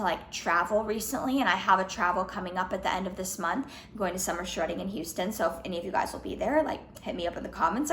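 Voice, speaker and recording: A young woman in her twenties talks casually straight to the camera, slightly hoarse, filling her sentences with "like" and "um". Her voice sits at a middle pitch. The room sounds echoey, with a faint hum of ambience behind her. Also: fairly bright tone. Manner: chatty, lots of "like" and "um", restarts. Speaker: fitness vlogger. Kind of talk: casual Q&A vlog.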